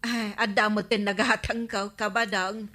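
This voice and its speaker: Bright-voiced, nosy Ilocano neighbour woman. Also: mid-range voice, flat delivery, normal speed. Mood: curious. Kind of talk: Ilocano radio comedy drama acting.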